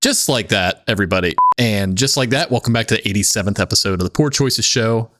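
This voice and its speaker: A man's voice: on the low side, fast talker, fairly bright tone, no room sound, clean studio sound. A man hosting a podcast with casual, crude joking banter. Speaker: podcast host.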